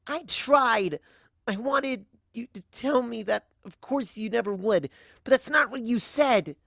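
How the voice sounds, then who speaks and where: Young female pony for a storybook reading, played by a narrator: earnest, shy, hesitant, pleading, lifted pitch, dry sound. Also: measured pace, gravelly, fairly bright tone, no echo. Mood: scared, upset. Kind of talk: dramatic audiobook fan fiction reading.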